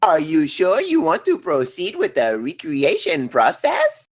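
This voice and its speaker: A woman playing a cheeky, deadpan ship's computer with a playful, officious manner in a comedy table read. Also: deep voice, unhurried, heavily acted. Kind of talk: acted table read of a comedy film script.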